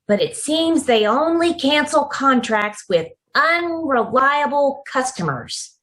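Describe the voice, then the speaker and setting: A woman's voice: high voice, fairly bright tone, exaggerated voice, rough recording. A woman playing a perky, earnest teenage schoolgirl in old-time radio comedy style over a video call.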